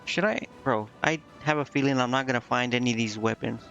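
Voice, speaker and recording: A man's voice: medium pitch, a little fast, fairly bright tone, highs cut off. A man streaming a game, muttering indecisively to himself in casual commentary as he thinks aloud. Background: game music.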